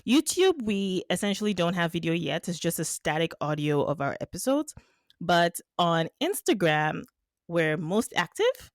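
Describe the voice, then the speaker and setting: A woman whose first language is Yoruba speaks as an interview guest, her voice sitting a little low. She talks thoughtfully and laughs easily. The sound is dry and close, with no room echo. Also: very animated, normal speed. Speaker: podcast guest, economist. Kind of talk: casual interview chat.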